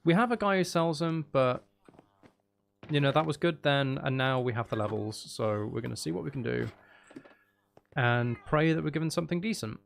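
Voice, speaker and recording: A man with a gravelly voice giving casual, rambling, sweary commentary as he thinks aloud while playing a video game. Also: mid-range voice, normal speed, slight background noise. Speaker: gaming video host.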